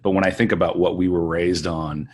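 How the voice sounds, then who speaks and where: A man's voice: sits low, flat delivery, fairly quick. A man from western North Dakota hosting a podcast, musing in a reflective, rambling way. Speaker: podcast host. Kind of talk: casual conversation.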